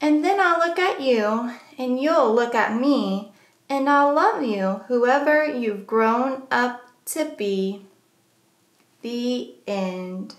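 High-voiced woman reading a picture book aloud. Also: slow pace, roomy sound. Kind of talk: read-aloud storytelling of rhyming children's book.

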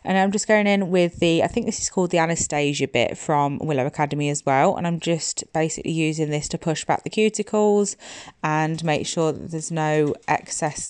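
Young woman, low clear voice, bit bunged up, chatting through a nail tutorial. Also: a little mellow, no echo. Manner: chatty, informal. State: slightly congested from hay fever. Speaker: nail technician making tutorials. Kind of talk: casual voice-over tutorial. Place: indoors, voice-over recorded in a room at home. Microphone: phone.

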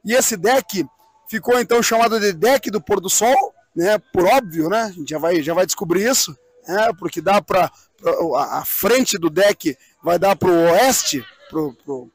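A man's voice: sits high, expressive, measured pace. A middle-aged man speaks. He explains things in an interview, often repeating himself and asking rhetorical questions. The sound is dry, with no echo. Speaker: event president being interviewed. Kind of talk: live outdoor interview, explanatory talk. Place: outdoors on a wooden swing in a park.

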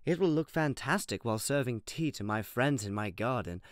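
A woman's voice: a bass voice, animated, fairly quick, no echo, clean studio sound. A woman playing a genteel, fussy and clumsy plant-folk lady in an audio drama, with polite, flowery speech. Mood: prim, delighted. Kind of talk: fan audio drama acting. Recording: clearly noisy background.

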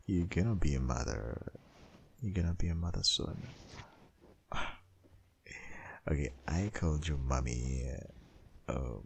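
A man playing a tender, possessive dominant boyfriend in an intimate ASMR roleplay, murmuring in a gravelly, breathy, half-whispered voice. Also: very deep voice, heavily acted. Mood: teasing, possessive. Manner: slow, murmuring, lingering.

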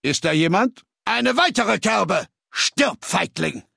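A menacing, zealous male soldier in a video game, firing off aggressive combat barks. His voice is raspy and gravelly and swings widely in pitch. The threats come out slowly and hard. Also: animated, bright, forceful tone, no room sound, studio quality, full character voice. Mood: hostile, aggressive. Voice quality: rough, gravelly.

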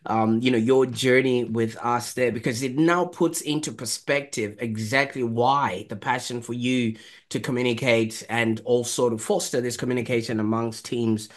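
A man's voice: mid-range voice, steady tone, normal speed. A man in his thirties hosting an interview over a video call, affirming his guest and asking long questions full of "you know". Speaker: host. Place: home study with bookshelves.

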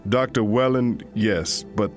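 An actor playing an earnest, troubled colony doctor in an animated sci-fi drama. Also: male voice, lowish voice, unhurried, soft background music, lightly acted. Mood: earnest, insistent.

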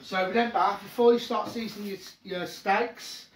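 A man's voice: high voice, fairly even intonation, normal speed. A man hosting a casual home cooking show, chatting to viewers as he works at the stove. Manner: chatty, keeps saying "guys", working class speech. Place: home kitchen at the stove, frying sounds. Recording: noisy home kitchen with sizzling.

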